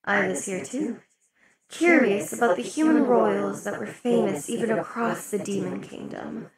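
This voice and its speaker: Woman as a slow-talking demon queen, loud party crowd. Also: mid-range voice, close to an everyday voice, poor audio. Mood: silky, possessive. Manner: slow, velvety, dips into whispers. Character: possessive, imperious female demon queen. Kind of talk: ASMR roleplay. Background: crowd chatter of a party.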